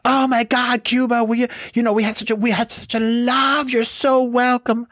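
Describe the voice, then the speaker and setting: Guy putting on a super excited high-pitched local, all gushy. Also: normal speed, dry recording, studio quality. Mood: gushing. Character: gushing welcoming Balkan locals, excited.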